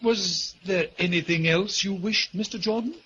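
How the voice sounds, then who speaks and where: Actor as a high-voiced polite manservant. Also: male voice, moderate pace, lightly acted. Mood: deferential. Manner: formal, servile. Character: polite, deferential manservant, soft-spoken and dutiful. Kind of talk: 1940s radio acting. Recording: muffled, hissy 1940s radio recording.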